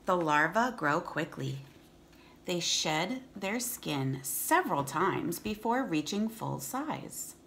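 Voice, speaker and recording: A woman's voice: lowish voice, creaky, a little hiss or hum. A woman, reading aloud to children as a teacher and chatting casually between passages. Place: room at home.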